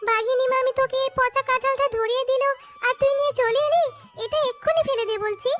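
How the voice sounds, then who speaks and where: An actor voicing a poor widowed mother fox in a children's cartoon, gentle but firm, pleading and motherly. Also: extremely high pitch, fairly even intonation, fast talker, music in the background. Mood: scolding, concerned.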